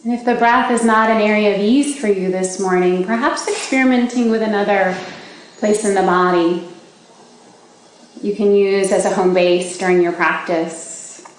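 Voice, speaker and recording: A woman leading a guided meditation for a group, giving slow, gentle instructions with long pauses. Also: medium pitch, steady tone. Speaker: meditation teacher leading a body scan. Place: large indoor gathering space. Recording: very echoey room with faint background noise.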